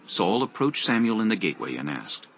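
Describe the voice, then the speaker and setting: A man's voice: fairly low pitch, sing-song, brisk. A man reading scripted dramatic narration for an audio Bible. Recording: dull lo-fi audio with music. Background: background music.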